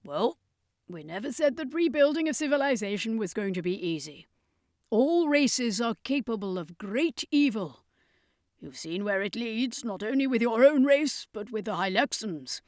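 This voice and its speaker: A woman's voice: fairly high pitch, expressive, normal speed, darkish tone, no echo, clean studio sound, voice pushed up, lightly acted. Woman playing a pompous male circus master hero. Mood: earnest advice. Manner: pompous, proclaiming.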